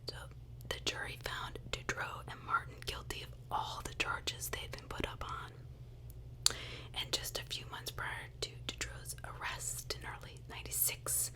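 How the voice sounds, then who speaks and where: Slow, whispering woman. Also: low-quality recording. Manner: conversational, addresses listeners with rhetorical questions. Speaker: true crime ASMR host. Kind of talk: whispered ASMR true crime narration.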